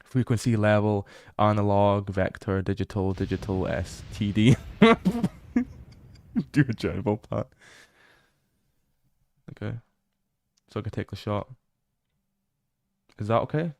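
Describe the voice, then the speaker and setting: Laid-back British guy, low and dry. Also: unhurried, vocal fry, pauses often, no room sound. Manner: laid-back, swears freely, bursts into excited exclamations. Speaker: livestreamer. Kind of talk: casual livestream chat while exploring a game.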